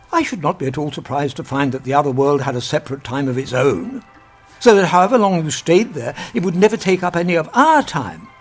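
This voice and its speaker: An actor playing a kindly, logical old professor, speaking deliberately and formally in a radio drama. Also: male voice, fairly high pitch, slightly rough. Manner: deliberate, formal, pedantic, gently exasperated.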